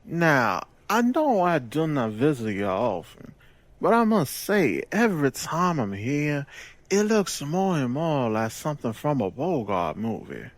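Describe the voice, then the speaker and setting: An actor playing a mock-courteous gangster boss in an audio drama, with florid, formal phrasing. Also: male voice, fairly high pitch, big pitch swings, measured pace. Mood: smooth, scheming.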